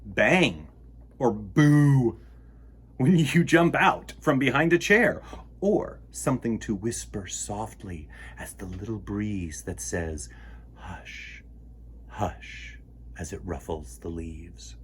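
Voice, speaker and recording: A man's voice: on the low side, animated, takes it slowly, a little hiss or hum. A man hosting a children's storytime, reading aloud and chatting expressively to young listeners in an enthusiastic, encouraging manner. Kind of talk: expressive read-aloud and chat addressed to children.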